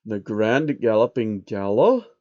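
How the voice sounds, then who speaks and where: Man voicing a slow, scheming old billionaire. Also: fairly low pitch, very animated, darkish tone, dull audio, pitched below the performer's own voice. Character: scheming elderly male billionaire, smugly nonchalant.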